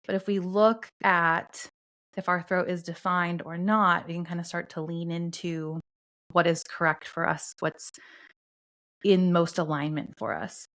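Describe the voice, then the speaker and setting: A woman co-hosting a podcast, calmly explaining astrology and human design concepts in a steady teaching tone. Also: lowish voice, measured pace. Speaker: podcast co-host.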